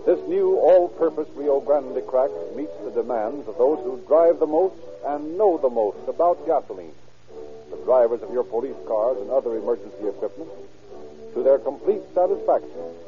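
A man's voice: medium pitch, lively intonation, moderate pace, over music. A man working as an announcer, reading scripted copy formally and emphatically in 1930s radio style. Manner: formal, emphatic salesmanship and narration. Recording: tinny old 1930s radio recording.

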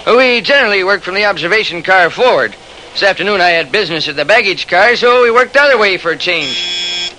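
An actor playing an earnest, deferential train conductor in an old-time radio drama, explaining himself with eager emphasis. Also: male voice, high voice, animated, fairly quick, sharp tone, slight background noise, poor audio.

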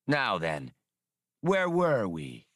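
A man voicing a gruff, wry old one-eyed bull sea creature with folksy, clipped talk, in an audiobook story. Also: on the low side, huge pitch swings, very slow, a bit bright, no room sound, clean studio sound, exaggerated voice. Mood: wry, teasing. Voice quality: gurgling.

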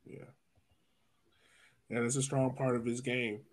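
A man's voice: mid-range voice, level tone, measured pace. A man from Virginia with an American accent, hosting a casual soccer podcast in an informal, slangy way. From Virginia, United States. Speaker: sports podcast host.

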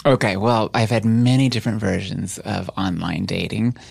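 A woman with a deep voice, a podcast guest frankly telling personal stories with wry humor in a casual chat. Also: steady tone, normal speed, creaky, a little mellow, slight background noise. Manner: frank, wry storytelling with laughs.

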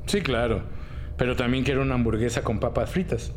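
An actor playing a cold, sardonic criminal in custody who taunts and bargains in an audio drama. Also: male voice, mid-range voice, fairly even intonation, brisk, slight background noise, natural voice. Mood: smug, taunting. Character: smug, mocking, cold criminal informant in custody.

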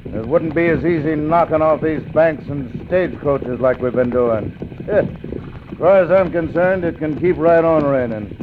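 A man's voice: a little high, measured pace, close to an everyday voice. An American man playing a ruthless, gloating Old West outlaw leader in a radio western, bossing his gang with a folksy drawl. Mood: gruff, gloating. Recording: tinny, noisy old radio recording.

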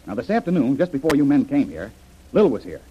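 Dull old radio recording, guy playing a husky adventurer, troubled but decisive, very lively delivery. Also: medium pitch, quick pace, a little hiss or hum, lightly acted. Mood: worried. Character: decisive trusting adventurer man, troubled by a puzzle.

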